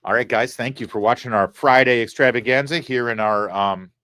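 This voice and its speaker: Male stream host, mid-pitched, wry and chatty, dry close studio sound. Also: sharp tone, smooth, no room sound. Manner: chatty, wry, quick asides. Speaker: talk show host reading viewer super chats. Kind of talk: casual live-stream chat. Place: studio set.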